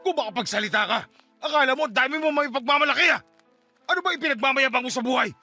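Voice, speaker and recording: A man's voice: very high voice, fast talker, bright, forceful tone, no room sound, exaggerated voice. A man playing a wicked, manipulative stepfather with a wheedling, menacing, melodramatic delivery in a radio drama. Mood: indignant. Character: wicked, manipulative stepfather, begging then gloating. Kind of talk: Tagalog radio drama acting. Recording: music bed under the voice.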